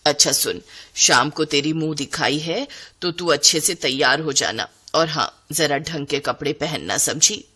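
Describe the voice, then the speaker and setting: A woman's voice: sits low, unhurried, sharp tone, slightly rough, voice pushed down, exaggerated voice. A woman voicing an exasperated middle-aged Indian mother-in-law in a cartoon story, scolding and fretting with dramatic exclamations.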